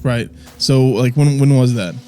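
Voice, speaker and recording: A man's voice: medium pitch, measured pace, dark tone, faint music behind. A man hosting a show, asking questions in an informal, chummy and conversational way. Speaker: show host. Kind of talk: casual conversational interview.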